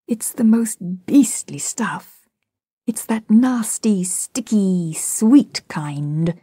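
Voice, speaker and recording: A woman's voice: medium pitch, big pitch swings, a little slow, warm tone, dry recording, studio quality. Woman voicing a petulant, blustering storybook father. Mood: disgusted, shuddering. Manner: indignant, sulky, self-pitying. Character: petulant, cowardly, blustering father of young children. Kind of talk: dramatised storybook reading.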